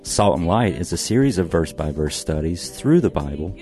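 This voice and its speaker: A man's voice: low voice, lively intonation, normal speed, warm tone, soft background music. A man reading a scripted introduction as the announcer of a radio ministry program. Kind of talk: scripted narration.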